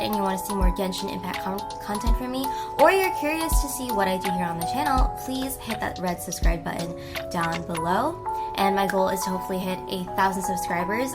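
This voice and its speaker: A young woman hosting a gaming video, chatting casually and excitably, correcting herself as she goes, with game music behind. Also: medium pitch. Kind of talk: casual, chatty gameplay commentary.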